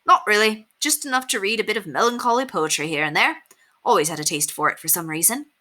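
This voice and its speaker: A woman's voice: medium pitch, lively intonation, fast talker, pushed and bright, voice pushed up. A woman voicing a friendly, sheepish young man with breezy, old-fashioned phrasing in a storybook reading. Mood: friendly, apologetic.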